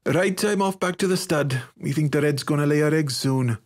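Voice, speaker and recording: A man voicing an irritable, rough-spoken teenage ranch lad in a fantasy storybook, with a nasal voice. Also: on the high side, normal speed, voice pushed up, lightly acted. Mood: casual.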